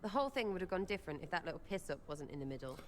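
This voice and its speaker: A woman's voice: lowish voice, big pitch swings, very fast, reverberant. A woman voicing a cheeky, irreverent young rogue in a video game, brash and slangy with a British accent. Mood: blunt, scolding. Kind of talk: video game character dialogue.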